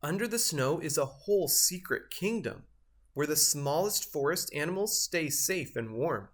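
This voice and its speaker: A man reading a children's picture book aloud, with expressive delivery and measured, careful phrasing. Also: fairly high pitch. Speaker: storybook reader.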